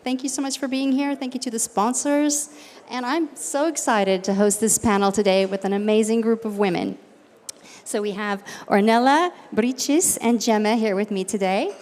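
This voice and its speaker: A woman's voice: fairly high pitch, normal speed, darkish tone. A woman moderating a panel, addressing guests and audience as host. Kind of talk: hosting a panel. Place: summit event room. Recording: noisy reverberant event hall. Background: faint room noise.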